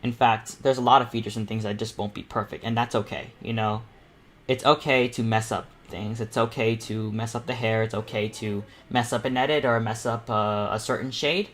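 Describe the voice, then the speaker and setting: A man's voice: lowish voice, fairly even intonation, moderate pace, fairly bright tone. Young man giving a casual painting tutorial. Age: teenager or young adult. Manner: informal, encouraging, rambling with frequent repeats. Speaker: painting panel host and self-taught artist. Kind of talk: casual live tutorial commentary while painting.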